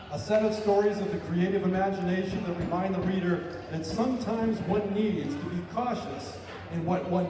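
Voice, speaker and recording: Man reading citations in an echoey hall. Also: high voice. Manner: formal, measured reading. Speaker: master of ceremonies. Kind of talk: reading award citations at a ceremony. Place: large hall with an audience. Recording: echoey hall recorded from far off.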